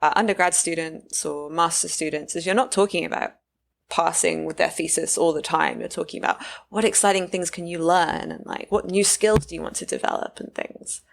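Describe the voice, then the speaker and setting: Woman, british accent, low pitch, gravelly edge, podcast guest, thoughtful. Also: a little fast, slight background noise. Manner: thoughtful, many fillers and restarts. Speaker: guest researcher. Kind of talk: casual interview talk.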